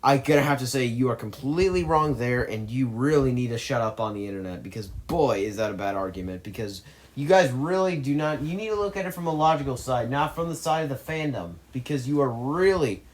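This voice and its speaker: Man, mid-pitched voice, argumentative movie commentary, emphatic, rambling. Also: expressive, brisk.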